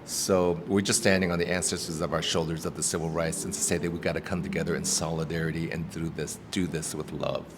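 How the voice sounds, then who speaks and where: A man with a low voice speaks earnestly and thoughtfully, pausing to restart his sentences. He is recorded in a restaurant with lingering reverb. Clear background noise sits under his voice. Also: level tone. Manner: earnest, reflective, with many restarts and ums. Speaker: actor and activist guest. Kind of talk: casual interview talk.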